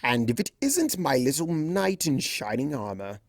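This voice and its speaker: A narrator voicing a flamboyant, teasing male chimera trickster in a fan storybook reading, smug and theatrical with drawn-out emphasis. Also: medium pitch, huge pitch swings, no room sound, studio quality. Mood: playful, grandiose.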